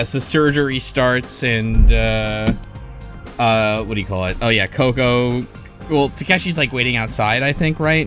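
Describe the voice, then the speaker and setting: A man's voice: medium pitch, a bit bright. A man hosting a casual group discussion, talking in a rambling, hesitant way with lots of fillers. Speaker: podcast host.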